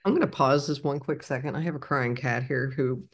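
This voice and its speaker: A woman in her sixties hosts a casual interview. She speaks at an average pitch in an easygoing, rambling way, feeling her way through sentences. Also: brisk. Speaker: podcast host, interviewer.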